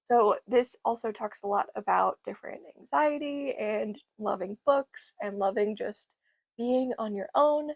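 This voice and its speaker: A young woman, a bit hoarse from losing her voice, chatting enthusiastically about library books. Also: on the high side, a little slow, a little mellow, no room sound. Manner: enthusiastic, gushing. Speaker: book vlogger. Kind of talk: casual book haul chat.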